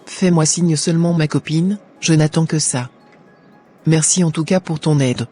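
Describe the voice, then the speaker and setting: Man voicing a husky young woman. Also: on the high side, steady tone, normal speed, mellow, soft background music. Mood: chatty. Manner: earnest, plaintive, then grateful and pious.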